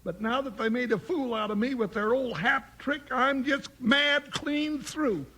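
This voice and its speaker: A male radio actor playing an excitable comic cowboy sidekick who complains in a folksy, blustery way. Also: very high voice, a little slow, breathy edge, heavily acted. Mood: indignant, blustery. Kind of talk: 1950s western radio acting. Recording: noisy vintage 1950s radio recording.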